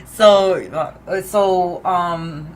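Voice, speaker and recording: Woman, interview host, chatty delivery, slightly low pitch, faint noise. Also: fairly even intonation, extremely slow. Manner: chatty, lots of fillers and laughs. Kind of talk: casual interview.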